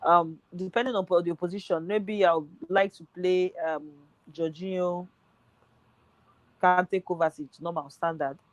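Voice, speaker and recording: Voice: mid-range voice, steady tone, a little slow, no echo, rough recording. A speaker talking football on a casual podcast, thinking aloud with lots of fillers, voice nearly worn out. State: voice tiring, nearly gone.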